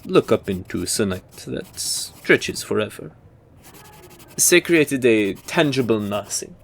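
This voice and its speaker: A man playing a formal history professor in an audio roleplay, lecturing in a measured, deliberate manner. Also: on the low side, animated, creaky, a bit bright, a little hiss or hum, heavily acted. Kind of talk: roleplay audio drama acting.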